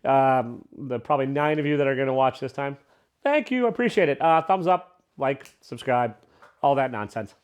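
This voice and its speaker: Guy doing an offhand vlog review, kinda rambly and irreverent, pokes fun at himself, medium pitched voice. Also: expressive, normal speed, smooth, highs cut off. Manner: rambling, self-deprecating, irreverent. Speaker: book review vlogger. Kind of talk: casual unscripted review chat.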